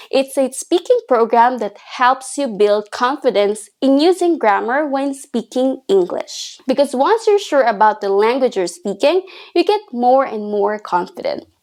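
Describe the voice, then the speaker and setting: A woman teaching English in a video lesson, speaking in a clear, encouraging, instructive way. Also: fairly high pitch, a little mellow.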